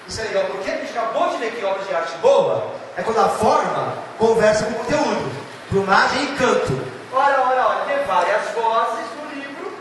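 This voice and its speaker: A man from Brazil with a São Paulo accent, giving a lively, theatrical literature lecture and addressing students directly. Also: high voice. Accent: São Paulo state Brazilian Portuguese. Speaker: literature teacher. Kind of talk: animated exam-prep lecture. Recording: echoey hall, far from mic, noisy.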